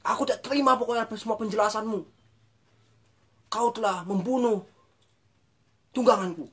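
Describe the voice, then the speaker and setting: Elder male god, indignant and demanding, high and sharp, as a storyteller puts him on. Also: normal speed, breathy edge. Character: proud elder male deity, angry brother.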